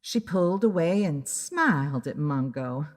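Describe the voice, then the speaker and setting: A woman's voice: low-pitched, expressive, unhurried. A woman narrating a first-person story in a dramatic audiobook style. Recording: long reverb on the voice.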